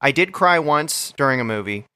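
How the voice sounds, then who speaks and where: A man co-hosting a comedy podcast, telling absurd stories in a mock-macho, deadpan way. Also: medium pitch, moderate pace, smooth. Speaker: podcast co-host.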